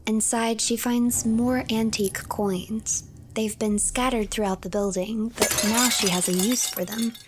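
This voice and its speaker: A woman narrating a game's story in a measured, scripted storytelling manner. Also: fairly high pitch, steady tone, background noise. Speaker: streamer narrator. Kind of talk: scripted storybook-like narration of a game, third person.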